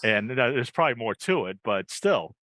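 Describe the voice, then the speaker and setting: Bright-voiced American man hosting casually. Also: medium pitch, sing-song, moderate pace. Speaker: podcast host. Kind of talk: casual chat.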